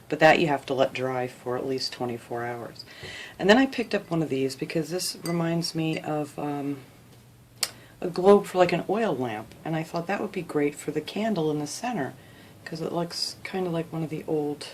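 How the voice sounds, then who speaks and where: Low-voiced chatty woman, sniffly. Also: slight background noise. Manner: chatty, relaxed, lots of "you know". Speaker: craft video host. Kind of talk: casual step-by-step craft tutorial. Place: home craft room.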